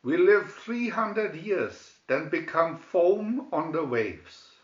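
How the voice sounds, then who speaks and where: Slow, grave merman king acted by a man. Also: a little high, animated, echoey room, muffled recording, pitched above the performer's own voice. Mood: solemn.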